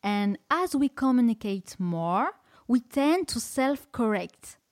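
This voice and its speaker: A woman's voice: fairly high pitch, very animated, slow, a little mellow, no room sound, clean studio sound. A French woman hosting a podcast in English, speaking with a French accent and encouraging, slightly non-native phrasing. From France. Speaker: podcast host. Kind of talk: casual interview in English.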